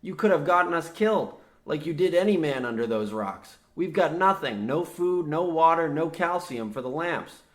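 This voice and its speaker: A man's voice: on the high side, lively intonation, normal speed, hardly any pauses, close to an everyday voice. Man playing an exasperated, practical younger man. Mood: accusing, tense. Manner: matter-of-fact, impatient, serious. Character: exasperated, practical younger man, war veteran trapped underground. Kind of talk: parody of old-time radio horror drama.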